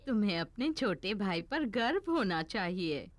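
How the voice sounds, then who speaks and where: Expressive, encouraging cartoon teacher. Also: female voice, mid-range voice, unhurried. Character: kind, encouraging playgroup teacher, adult lady animal. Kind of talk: cartoon dubbing.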